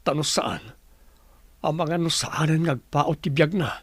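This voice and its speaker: A man's voice: on the high side, unhurried, husky, dry recording. A man playing a courteous, devoted and worried middle-aged husband in an Ilocano radio drama. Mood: sorrowful, worried.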